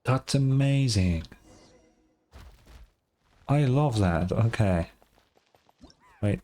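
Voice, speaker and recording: A man's voice: medium pitch, expressive, drawn-out words, warm tone. A man giving casual, informal gameplay commentary, reacting aloud as he plays. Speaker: gaming streamer.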